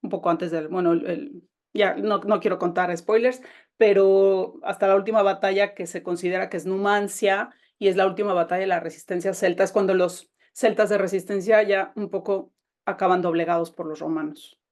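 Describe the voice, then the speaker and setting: A woman with a Mexican Spanish accent talks about her own novel in a relaxed, chatty way. She restarts her sentences often and keeps going with eager energy. Her voice comes through a video call. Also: mid-range voice, normal speed. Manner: chatty, enthusiastic, many restarts. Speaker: novelist guest. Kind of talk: casual conversation over a call.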